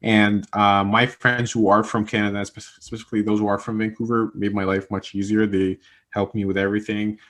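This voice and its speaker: Young Egyptian man, low voice, rambling. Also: flat delivery, brisk, a bit bright. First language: Arabic. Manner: rambling, many fillers and restarts. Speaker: interviewee, communication specialist. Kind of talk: casual interview answers.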